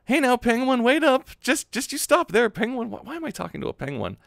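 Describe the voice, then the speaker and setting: A man voicing a reluctant, aloof junior high school boy. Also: sing-song, fairly quick, gravelly, fairly bright tone, no echo, clean studio sound, full character voice. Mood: hesitant.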